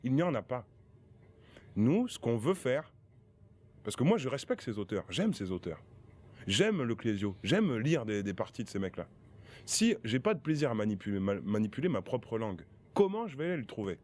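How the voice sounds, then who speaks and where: A man's voice: mid-range voice, sing-song, normal speed, darkish tone. Passionate man talking in an interview. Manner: passionate, emphatic.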